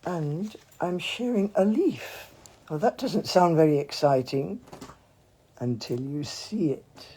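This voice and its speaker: A woman with a husky, hoarse voice leads a mindfulness livestream at an easy, slow pace. She chats warmly and meanders between topics, speaking at home into a phone. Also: deep voice. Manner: chatty and meandering, gently informal. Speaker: mindfulness teacher. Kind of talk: casual livestream with guided breathing. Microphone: phone, handheld at times.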